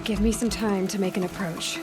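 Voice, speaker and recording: An actress playing a young, self-assured huntress heroine in a video game, with a wry, conversational delivery. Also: female voice, mid-range voice, steady tone, fairly quick, close to an everyday voice. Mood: confident, wry. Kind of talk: naturalistic video game acting. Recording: faint music behind the voice.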